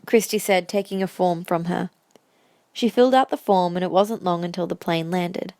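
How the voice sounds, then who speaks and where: A woman's voice: fairly low pitch, steady tone, moderate pace, mellow, no room sound. A woman reading scripted story narration as the narrator of an audio drama.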